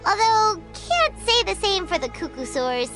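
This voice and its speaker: A woman voicing a tiny, excitable childlike fairy companion in a video game, squeaky and exclamatory. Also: measured pace, full character voice. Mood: astonished. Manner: exclamatory, refers to self in third person. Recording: music behind the voice.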